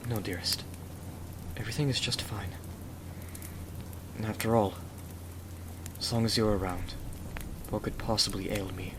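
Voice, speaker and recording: A man acts out a gentle young Victorian gentleman, devoted and courteous, for an ASMR roleplay. His voice sits fairly low and he speaks with earnest tenderness. There is noticeable noise and rain behind him. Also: fairly even intonation, moderate pace, long pauses, lightly acted. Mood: affectionate.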